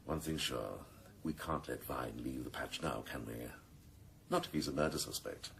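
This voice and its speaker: An actor playing a scheming, middle-aged senior police officer in a radio drama, sardonic and sneering, speaking with theatrical relish. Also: male voice, very deep voice, moderate pace, vocal fry, fairly bright tone, slight background noise, heavily acted. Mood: gloating cynicism.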